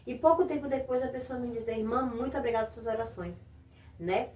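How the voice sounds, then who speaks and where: A woman from Brazil hosting a religious interview podcast, affirming her guest with frequent amens and gently preaching. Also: a little high, fast talker. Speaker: podcast host. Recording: echoey room, voice a bit far from mic, faint noise.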